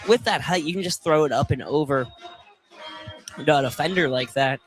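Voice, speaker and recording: A man giving conversational live basketball analysis as color commentator, with halting phrasing. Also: fairly high pitch, faint music behind. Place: indoor college field house, courtside. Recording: live gym broadcast with crowd. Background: game crowd.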